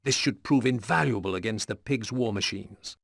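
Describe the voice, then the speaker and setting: A man delivering measured, serious scripted narration for video game mission briefings. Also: fairly low pitch, gravelly. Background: music with plucked guitar.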